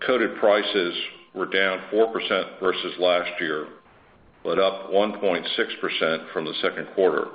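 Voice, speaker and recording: A man speaking as a chief executive, giving a formal, measured scripted earnings presentation over a conference phone line. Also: low voice, echoey room. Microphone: conference call phone line.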